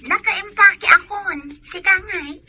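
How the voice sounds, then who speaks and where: A woman's voice: very high-pitched, slow, over music. A woman with an Ilocano accent playing a stubborn, eager young country daughter in a radio drama, whiny and insistent.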